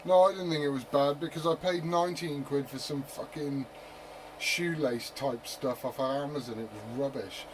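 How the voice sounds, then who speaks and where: A man's voice: mid-range voice, moderate pace. A man chatting casually and swearing freely over CB radio in slangy talk. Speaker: amateur CB radio operator. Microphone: CB radio set. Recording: noisy CB radio transmission, heavy static.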